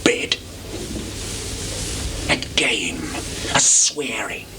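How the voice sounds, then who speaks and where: A man playing a brooding, vengeful young prince in a Shakespearean stage soliloquy, with hushed, halting intensity. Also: on the low side, lively intonation. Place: small theatre stage in Tokyo. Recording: noisy 1980s live theatre recording.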